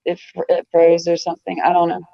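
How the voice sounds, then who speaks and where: A woman calling into a casual livestream chat, halting and heavy with fillers. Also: fairly low pitch, completely flat delivery, creaky, mellow, no room sound, highs cut off. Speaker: livestream guest.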